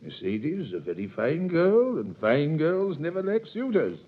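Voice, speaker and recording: A man's voice: on the high side, huge pitch swings, normal speed. An actor playing a sly, teasing middle-aged male tailor in a 1930s radio drama, with an insinuating, chuckling manner, on a tinny old recording.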